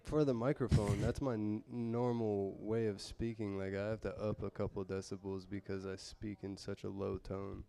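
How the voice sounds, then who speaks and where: A soft-spoken man, co-hosting a casual studio chat show close to his microphone. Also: fairly low pitch, flat delivery, normal speed, gravelly, few pauses, smooth. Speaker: podcast co-host. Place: radio studio.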